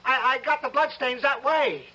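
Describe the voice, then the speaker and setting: A high-voiced, desperate young defendant. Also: male voice, normal speed, pushed and bright, exaggerated voice, rough recording. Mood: pleading. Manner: stammering, pleading. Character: desperate young male defendant pleading innocence. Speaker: actor. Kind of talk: old-time radio drama acting.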